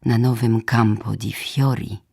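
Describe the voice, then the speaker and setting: Slow, husky woman reciting poetry. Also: rumbling low, fairly even intonation, dark tone. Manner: slow, deliberate phrasing in short measured lines. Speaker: poetry reader. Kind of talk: solemn dramatic poetry recitation, audiobook. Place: radio theatre studio.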